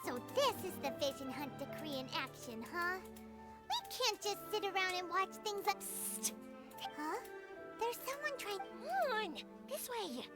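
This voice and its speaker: A woman voicing a tiny, excitable floating fairy girl in a video game, with a childlike, squeaky, exclamatory delivery. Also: unhurried, over music, exaggerated voice. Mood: indignant, urgent. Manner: childlike, squeaky, exclamatory, speaks of herself by name.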